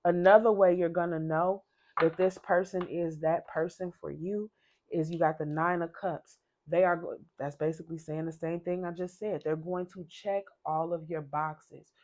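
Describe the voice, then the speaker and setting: Low-voiced woman reading tarot. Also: steady tone, moderate pace, a little mellow. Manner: chatty, rambling, repeats and restarts. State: sick, losing her voice. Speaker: tarot reader. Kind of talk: casual tarot reading to camera.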